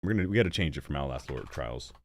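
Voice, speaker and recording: A young man streaming games and chatting casually, rambling and joking at his own expense, with a stuffy nose and hiccups. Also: fast. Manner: rambling, self-mocking, animated with sudden jumps in pitch. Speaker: streamer and gaming channel host. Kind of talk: casual live-stream chat.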